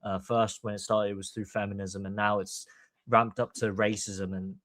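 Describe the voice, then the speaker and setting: A man's voice: fairly low pitch, level tone, moderate pace, a bit bright, no room sound. A man from Hong Kong hosting a podcast, giving opinionated commentary in an emphatic, long-winded way. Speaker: podcast host.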